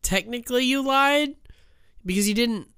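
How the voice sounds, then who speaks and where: A man co-hosting a casual podcast, sick and a bit rough-voiced, joking and swearing between laughs. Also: very high-pitched, normal speed, pushed and bright, no echo, studio quality. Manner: joking, profane, laughs a lot. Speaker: podcast co-host. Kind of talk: casual chat.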